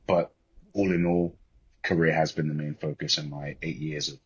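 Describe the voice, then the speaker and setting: A British man in his twenties with an extremely deep, husky voice. He speaks as a podcast guest, measured and reflective with rather formal wording. The recording is dull and muffled. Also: steady tone. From born in Liberia, grew up in the UK. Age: 20s. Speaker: podcast guest, corporate banker. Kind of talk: reflective interview talk.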